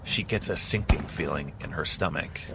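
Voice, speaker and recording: A man giving scripted second-person narration of an action story in a steady, measured way. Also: a bass voice. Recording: clear background noise.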